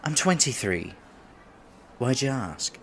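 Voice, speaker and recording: A man's voice: medium pitch, expressive, slow, a bit bright, slight background noise. A man in his twenties playing a nervous, polite young silver fox kitsune who wants to seem mature, in an ASMR date roleplay, speaking formally with stammers. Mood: puzzled, amused. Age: 20s. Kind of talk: ASMR roleplay, scripted one-sided date conversation.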